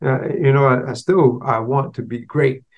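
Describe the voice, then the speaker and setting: A man talks through his own solo podcast at an even, mid-range pitch. A slight stutter makes him repeat and restart words, and he mixes street slang with business vocabulary. The recording sounds a little dull. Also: moderate pace. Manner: conversational, street slang mixed with business terms. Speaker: podcast host. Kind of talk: casual solo podcast talk.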